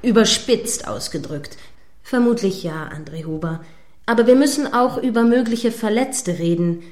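A woman plays a female radio news anchor in a radio drama. She presents breaking news formally at a middle pitch, with measured delivery and insistent, probing questions. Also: animated, darkish tone, close to an everyday voice. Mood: earnest, probing. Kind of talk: satirical radio play as live news broadcast.

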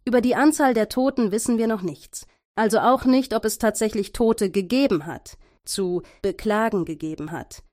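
A woman's voice: medium pitch, a little mellow, no echo, clean studio sound, close to an everyday voice. A woman playing a formal, sober radio news announcer reading a bulletin in a radio play. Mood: sober, serious. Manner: formal, measured, carefully worded.

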